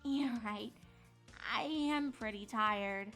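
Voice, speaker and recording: A woman voicing a young, bookish and easily exasperated unicorn princess, fretful and expressive, in a cartoon fan fiction audio drama. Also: on the high side, slow pace. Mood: weary. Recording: music behind the voice.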